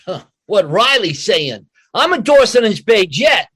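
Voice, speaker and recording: A man performs a fawning working-class rival in old-time radio comedy style. He is high-pitched and very animated, recorded close and dry, tossing out pushy, ungrammatical wisecracks. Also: unhurried, husky, dry recording, exaggerated voice. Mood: resentful.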